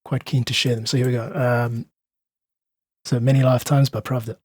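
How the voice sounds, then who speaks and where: A man speaking as a podcast guest, reflective and earnest, slightly husky, making his points with emphatic repetition. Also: mid-range voice, level tone, moderate pace, dry recording. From South Africa. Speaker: podcast guest, independent musician. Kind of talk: reflective casual conversation.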